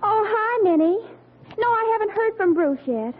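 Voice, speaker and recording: Mopey teenage daughter, very high girlish pitch, wistful, melodramatic, tinny vintage radio comedy with audience behind. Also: lively intonation, normal speed. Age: young adult. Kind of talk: 1940s radio comedy acting.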